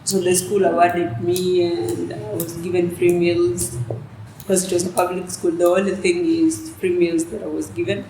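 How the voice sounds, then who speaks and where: Young woman, native Dinka speaker, interview guest; fairly low voice, halting answers, roomy echo. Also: level tone. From South Sudan. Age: young adult. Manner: soft-spoken, hesitant with many restarts. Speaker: student leader guest. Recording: echoey room with faint noise.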